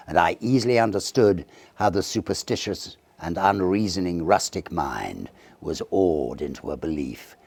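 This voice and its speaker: Male narrator, very low voice, widely varied intonation, dramatic measured delivery, gravelly grain, dry close sound. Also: a little mellow, dry recording. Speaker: audiobook narrator. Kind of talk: scripted storytelling narration of a Victorian ghost story.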